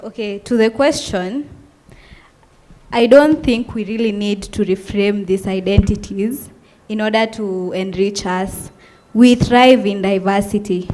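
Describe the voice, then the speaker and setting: A young Kenyan woman speaks at a mid pitch. She is soft-spoken but firm as she answers a question at a university forum.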